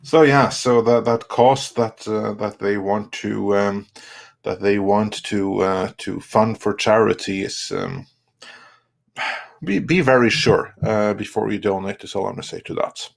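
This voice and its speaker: A man with a slightly low voice and heavy vocal fry hosts a casual live stream. He talks haltingly, circling back over his words as he goes. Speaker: online show host. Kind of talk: casual live-stream chat, reading viewer messages.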